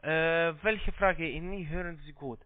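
A man who is not a native German speaker, talking haltingly in accented, broken German on a sales call, evasive in manner. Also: fairly high pitch. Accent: foreign accent in German. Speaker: call-centre scammer posing as trading platform agent. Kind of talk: phone sales conversation. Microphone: telephone. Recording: muffled tinny phone line.

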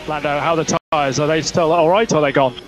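A man's voice: a little high, fairly even intonation, quick pace, over music. A man working as a race engineer, giving terse, clipped technical instructions over team radio. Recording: noisy motorsport team radio.

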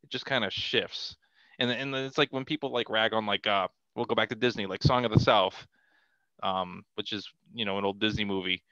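A middle-aged man with a slightly low, bright voice talks casually as a podcast guest. His sound is dry and close on a headset, and he often restarts his phrases. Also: fairly even intonation, brisk, dry recording, lo-fi sound. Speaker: podcast guest, comic artist. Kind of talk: casual conversational interview. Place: small cluttered room at home, video call. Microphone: headset on a video call.

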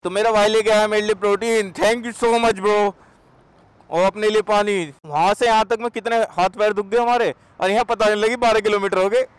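A young man riding a motorcycle on an expressway, calling out speeds and chatting excitedly to the camera. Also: sits high, normal speed, clear, resonant tone, rough recording. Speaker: motovlogger. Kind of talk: casual riding commentary. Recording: loud wind and engine noise. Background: wind and traffic noise.

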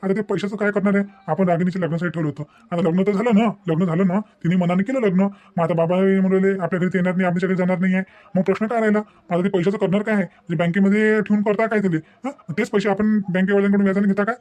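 A man's voice: sits high, steady tone, racing, hardly any pauses, darkish tone, natural voice. A man voicing an insistent, money-minded adult son in a family cartoon, arguing persuasively and repeating his points. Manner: persuading, repetitive, argumentative. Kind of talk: Marathi family cartoon dialogue.

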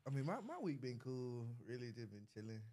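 A young man with a Memphis accent, a musician guest in a casual chat, speaking in a calm, reflective way with pauses. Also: medium pitch. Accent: Memphis, Tennessee. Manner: calm and reflective, hesitant with fillers.

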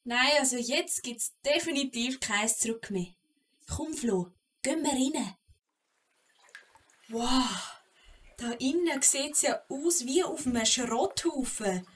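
A woman's voice: sits high, animated, slow, long pauses, fairly bright tone, exaggerated voice. A woman with a Swiss German accent acting a bold, impulsive, cheeky young girl adventurer in a children's audio play. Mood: eager, then excited.

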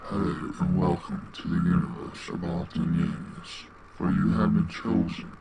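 A man voicing an ominous otherworldly announcer, theatrical, with a deep gravelly voice electronically pitched down and layered. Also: over a noisy crowd. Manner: slow, theatrical welcome. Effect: pitch-shifted down, layered, processed. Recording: very noisy, muffled, processed home recording.

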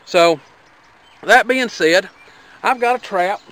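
A man's voice: high-pitched, slow, fairly bright tone. A middle-aged man with an Appalachian accent, talking in a folksy, plainspoken, rambling way as he demonstrates something outdoors by his garden. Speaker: homesteader vlogger.

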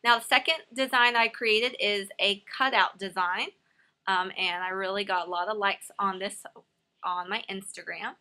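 A woman's voice: mid-range voice, fairly even intonation, normal speed, pushed and bright. A woman hosting a nail art tutorial in a casual, instructional voice-over.

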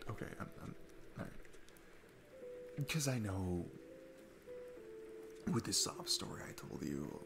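Man acting as a deep-voiced, nervous single dad. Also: very animated, takes it slowly, vocal fry, long pauses, loud music behind the voice, full character voice. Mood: earnest, awkward. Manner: hesitant, rambling, self-deprecating, chuckling between phrases. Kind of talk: intimate ASMR roleplay, casual chat.

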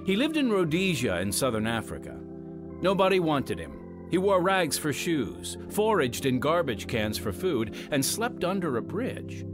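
A man's voice: on the high side, huge pitch swings, measured pace. A man hosting and narrating an audio drama podcast in a scripted storytelling style. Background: music under the voice.

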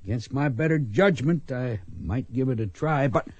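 Male actor, old western ex-gunfighter, grumbling, hesitant, folksy, soft dark tone, radio play. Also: mid-range voice, normal speed, dry recording, muffled recording, natural voice. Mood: reluctant. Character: weary, grumbling old ex-gunfighter, good-hearted.

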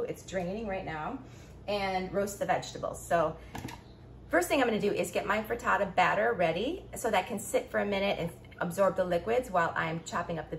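A woman's voice: mid-range voice, slight background noise. Upbeat woman vlogging in her kitchen. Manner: upbeat, chatty, enthusiastic. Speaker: vlogger and nutrition coach. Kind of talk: casual cooking vlog talk. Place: home kitchen, indoors.

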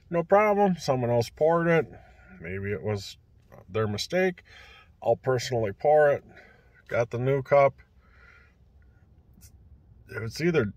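Middle-aged male food vlogger, folksy and joking, unhurried delivery, phone selfie in a parked car. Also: mid-range voice, dry recording. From Minnesota. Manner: chatty, folksy, joking about his wife. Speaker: food reviewer. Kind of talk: casual food review vlog. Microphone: phone at arm's length.

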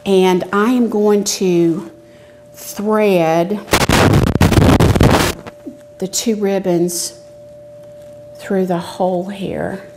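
A woman's voice: mid-range voice, steady tone, drawn-out words, pauses often. A middle-aged woman hosting a casual cooking and craft demonstration, explaining step by step in a chatty, folksy way. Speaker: cooking show host, extension educator. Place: medium-sized indoor demonstration kitchen. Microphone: lapel mic. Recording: clear background noise with clicks.

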